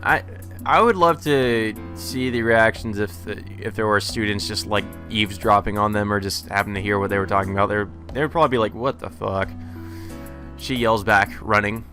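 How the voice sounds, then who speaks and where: A man's voice: lowish voice, brisk, pushed and bright, soft background music. A man reading a visual novel aloud as a let's player, mixing the game's text with casual asides.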